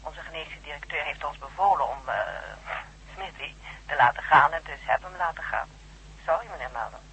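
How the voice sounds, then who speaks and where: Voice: mid-range voice, brisk, sharp tone, breathy edge, low-quality recording. An actor playing a polite, flustered female night nurse on the telephone in a radio drama, hesitant and apologetic.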